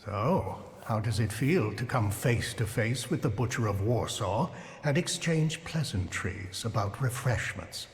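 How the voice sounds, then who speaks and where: A medium-pitched actor's voice as a cautious male ally in a story video game. He sounds sober and questioning, with a long reverb around his words. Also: expressive, unhurried, few pauses, close to an everyday voice. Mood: wry, probing. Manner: cautious, serious.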